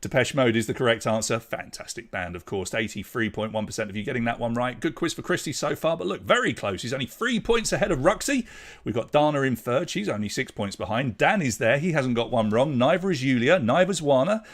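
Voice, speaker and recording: Chatty English quizmaster, lively delivery. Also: male voice, medium pitch, fairly quick. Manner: chatty, jokey banter with players between questions. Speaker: quiz host. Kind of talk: hosting a live online pub quiz.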